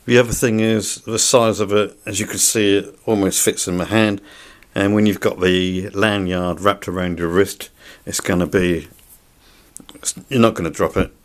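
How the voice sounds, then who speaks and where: A man's voice: low voice, little pitch movement, normal speed. A man giving an unscripted product review in a plain-spoken, somewhat hesitant way. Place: indoors.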